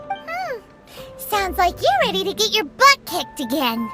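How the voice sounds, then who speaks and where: Game fairy sidekick: extremely high, squeaky, sassy and suspicious, swinging widely in pitch, over music. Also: female voice, huge pitch swings, a little slow, full character voice. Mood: suspicious, snide. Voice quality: squeaky, childlike. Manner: refers to herself by name, sassy. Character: tiny floating fairy companion, squeaky, cheeky, suspicious. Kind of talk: video game character acting. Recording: loud music behind the voice.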